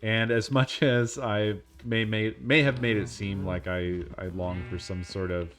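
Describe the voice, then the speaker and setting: A man with a low, deep voice chats casually while he plays a game on stream. His delivery is flat and monotone, and he never rushes. Soft music plays quietly behind him. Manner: monotone, unhurried talk.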